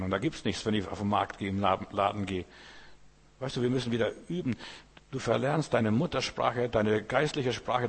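A man from Lithuania, whose first language is Lithuanian, preaches in a husky, mid-pitched voice. He talks to the congregation like a conversation and keeps checking whether they follow. His voice carries a long hall reverb. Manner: conversational, keeps asking "verstehst du?". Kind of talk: informal sermon.

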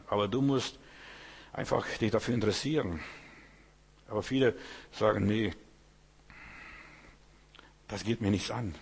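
A man's voice: on the low side, husky, in a large hall. A man from Lithuania, whose first language is Lithuanian, preaching an informal sermon in a chatty way and often asking his listeners whether they follow. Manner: conversational, keeps asking "verstehst du?".

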